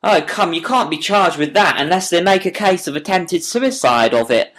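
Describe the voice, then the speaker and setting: A man's voice: high voice, animated, moderate pace, fairly bright tone, voice pushed up. A man voicing a hearty police inspector in an audiobook, emphatic and jovial, easily amazed. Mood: amused. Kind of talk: audiobook character voice.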